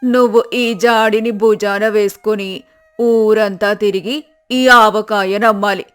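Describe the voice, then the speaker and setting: A woman's voice: mid-range voice, steady tone, slow, clear, resonant tone, faint music behind, lightly acted. A woman voicing a shrewd older village innkeeper in a folk tale, sly and persuasive. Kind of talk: dramatized folk tale acting.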